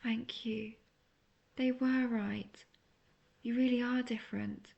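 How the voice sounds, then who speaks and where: Female actor as scheming captive witch for fantasy audio drama: seductive, intimate, husky and breathy, with heavy vocal fry. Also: on the high side, steady tone, slow, darkish tone, highs cut off, full character voice. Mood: pleading. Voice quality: breathy, often near-whispered. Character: manipulative, seductive imprisoned witch feigning innocent helplessness.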